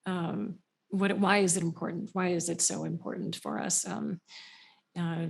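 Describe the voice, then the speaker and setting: A woman moderates a panel discussion with a low, gravelly voice that stays flat and even. She asks her questions hesitantly and fills gaps with ums. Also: a little slow. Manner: hesitant, with many fillers and restarts. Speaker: podcast host.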